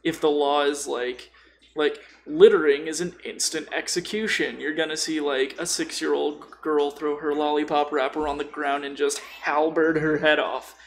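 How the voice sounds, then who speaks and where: A man's voice: fairly high pitch, fairly even intonation, moderate pace, slight background noise. A man chatting casually and bluntly about tabletop role-playing, with strong opinions, swearing and slang. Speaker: podcast guest.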